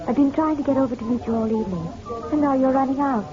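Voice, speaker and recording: A woman's voice: high-pitched, fast talker, music in the background, natural voice. An actress playing a genteel, proud middle-aged mother in a radio drama, poised and arch with a bitter edge. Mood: flirtatious, arch. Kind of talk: noir radio drama acting. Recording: dull, slightly noisy radio-drama recording.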